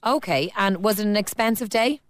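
A woman's voice: medium pitch, brisk, dry recording. A woman with an Irish accent hosting a phone-in radio show, chatting and teasing callers and reading out listener texts. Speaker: radio host. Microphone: studio mic.

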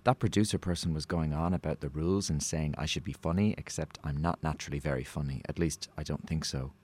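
A man's voice: very deep voice, steady tone, fast talker, darkish tone, slightly rough. A man playing an awkward, nervous radio contestant who rambles hesitantly in an audio drama. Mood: awkward chatter. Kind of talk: naturalistic audio drama acting.

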